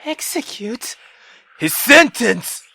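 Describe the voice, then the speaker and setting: A cruel, commanding mother acted out by a woman reading a visual novel game. The delivery is venomous and accusing. It moves slowly and swings widely in pitch. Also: sing-song, creaky, bright, forceful tone, slightly rough, dry recording, exaggerated voice. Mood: pleading, vengeful. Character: cruel, accusing mother scolding her daughter.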